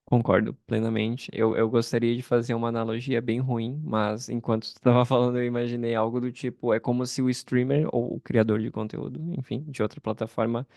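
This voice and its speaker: A man's voice: mid-range voice, flat delivery, brisk, creaky, hardly any pauses, darkish tone, no echo. A man hosting a casual conversational interview, speaking informally and thinking aloud as he goes. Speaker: podcast host, interviewer and content creator.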